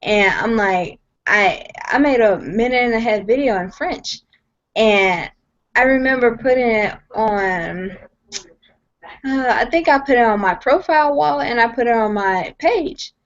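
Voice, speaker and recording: A woman's voice: fairly high pitch, lively intonation, measured pace. A young woman at home on a video call, telling her story in an earnest, emphatic way, often repeating herself and restarting sentences. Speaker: guest sharing her story. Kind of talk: personal testimony on a video call. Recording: dull lo-fi video call audio.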